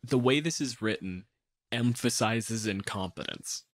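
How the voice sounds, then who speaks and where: Low-pitched man in dry, close sound. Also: unhurried, no room sound. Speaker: podcast panelist. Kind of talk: casual analytical discussion of tabletop game monsters.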